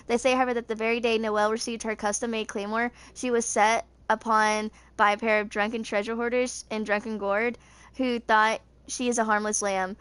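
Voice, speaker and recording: A woman's voice: on the high side, fairly bright tone, clean tone, no echo. A woman in her twenties reading video game character lore aloud, stumbling over words now and then. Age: 20s. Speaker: gaming video creator.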